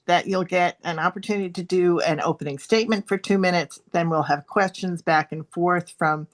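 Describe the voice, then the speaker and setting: A woman interviewing a candidate for a political club on a video call, polite and halting with many fillers. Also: lowish voice. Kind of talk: moderating an endorsement interview.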